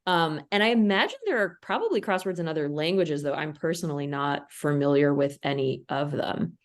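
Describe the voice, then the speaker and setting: A woman's voice: sits low, expressive, brisk. A woman, a crossword constructor, explaining fluently and with enthusiasm in an interview. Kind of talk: casual interview answer.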